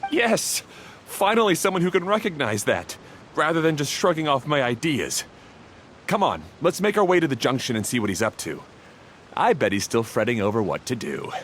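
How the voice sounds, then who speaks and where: A man voicing a proud, touchy mechanic in an animated video game, with a theatrical, self-satisfied manner. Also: medium pitch, normal speed, slight background noise. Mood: smug. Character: proud, touchy male mechanic, eager for credit.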